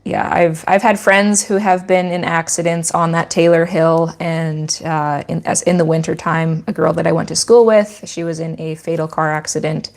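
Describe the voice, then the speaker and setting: A woman's voice: low voice, fairly even intonation, moderate pace, few pauses. A woman with a Canadian accent who hosts a channel about her goat farm, chatting in a rambling, teacherly way during a livestream Q&A. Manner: rambling with frequent restarts. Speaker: goat farmer and channel host. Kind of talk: casual livestream chat and Q&A.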